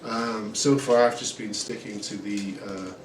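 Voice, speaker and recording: A man's voice: lowish voice, measured pace. Rambling middle-aged man in a roomy home room. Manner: relaxed, rambling, many ums and uhs. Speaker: guitar reviewer. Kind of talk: casual product review. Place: small room at home, indoors. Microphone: camera mic at a distance. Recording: roomy, distant camera audio with noise. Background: acoustic guitar playing.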